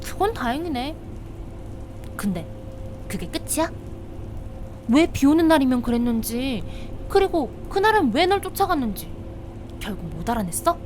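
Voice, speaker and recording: A woman acting a teasing, bossy young investigator in a visual novel, expressive and quick to scold, with rain and insects behind her. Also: high-pitched, slight background noise. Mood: teasing, nosy.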